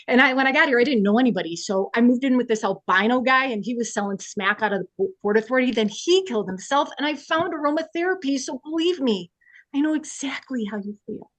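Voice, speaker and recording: A woman's voice: fairly high pitch, fast talker, no echo, close to an everyday voice. A woman reading the part of a quirky, sincere young oversharer in a sitcom script, earnest and rambling. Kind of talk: sitcom script table read.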